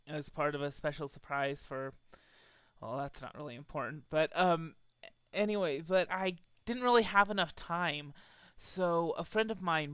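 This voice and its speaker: Hesitant man with a clear, dry sound. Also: fairly high pitch, fairly bright tone, no echo. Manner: hesitant, halting. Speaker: channel host and fan fiction writer introducing a reading. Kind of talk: casual spoken introduction.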